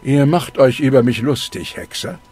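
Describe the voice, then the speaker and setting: Solemn old fire preacher, preachy, voice goes up and down a lot, game music behind. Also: male voice, medium pitch, expressive, normal speed, a little mellow, slightly rough. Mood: stern. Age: older. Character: stern older male preacher of a fire cult. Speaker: game character. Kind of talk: dubbed video game dialogue. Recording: game audio with music underneath.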